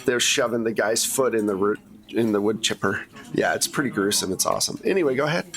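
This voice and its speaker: A man's voice: fairly low pitch, quick pace, fairly bright tone. A man running a tabletop game as game master, narrating scenes off the cuff in a casual, conversational way.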